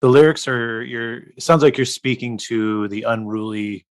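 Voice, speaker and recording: A man's voice: mid-range voice, moderate pace, dry recording. A man co-hosting a podcast, asking curious questions and laughing along with his guest. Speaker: podcast co-host. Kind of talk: interview questions.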